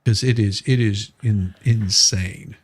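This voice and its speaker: A man chatting casually as a livestream co-host, telling stories in a halting way with repeated words. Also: fairly low pitch, husky.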